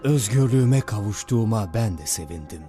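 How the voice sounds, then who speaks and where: A man voicing a calm, courteous, questioning priest in a cartoon. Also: fairly low pitch, fairly quick, faint music behind, natural voice. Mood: calm, kindly. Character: second Orthodox priest, calm, kindly, questioning. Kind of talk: cartoon voice acting.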